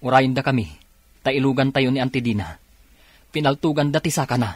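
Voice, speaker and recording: An actor playing a brisk, dutiful young policeman in an Ilocano radio drama, with an Ilocano accent. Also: medium pitch, no room sound, natural voice. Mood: dutiful, urgent. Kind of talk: Filipino radio drama acting.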